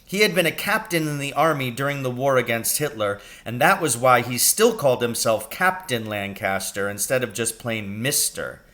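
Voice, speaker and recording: A man's voice: mid-range voice, animated, normal speed, hardly any pauses, sharp tone. Man reading a storybook expressively. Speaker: teacher reading a book aloud.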